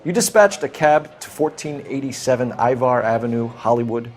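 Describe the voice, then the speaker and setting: An American man playing a brisk, formal, authoritative police detective in a video game. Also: medium pitch, slight background noise, close to an everyday voice. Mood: official. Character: brisk, businesslike young male police detective and ex-Marine. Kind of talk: video game dramatic acting.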